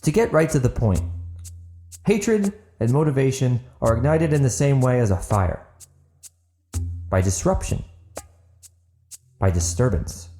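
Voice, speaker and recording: Man voicing a bold, high-toned persuasive chieftain. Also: big pitch swings, mellow, faint music behind. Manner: formal, measured oratory. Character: outspoken diplomatic male chieftain, bold and persuasive.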